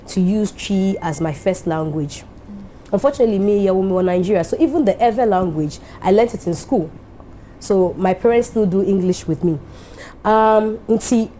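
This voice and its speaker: A woman's voice: mid-range voice, dark tone, slight background noise. A Ghanaian woman hosting a TV show, giving an emphatic, heartfelt speech that mixes English and Twi.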